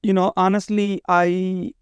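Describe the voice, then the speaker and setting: A man's voice: high-pitched, level tone, measured pace, smooth, dry recording. A man talking casually as an interview guest, earnest and often repeating his phrases. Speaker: podcast guest, bridal expo organizer.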